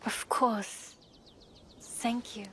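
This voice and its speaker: An actress playing a proud, wounded divorced village woman of feudal Japan, subdued and halting, in a game cutscene. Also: fairly high pitch, flat delivery, sharp tone, slight background noise, heavily acted. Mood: bitter, subdued. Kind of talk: dramatic video game cutscene acting.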